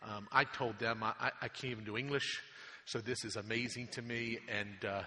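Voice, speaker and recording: A man's voice: medium pitch, steady tone, moderate pace, bright, forceful tone. A man hosting a guest at church as pastor, affirming and asking questions. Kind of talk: church interview. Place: church sanctuary. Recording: reverberant church hall.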